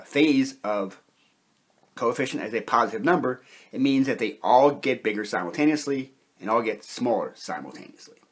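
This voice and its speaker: A man with a slightly husky voice giving a measured, methodical lecture, explaining step by step as an instructor. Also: mid-range voice. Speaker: chemistry instructor.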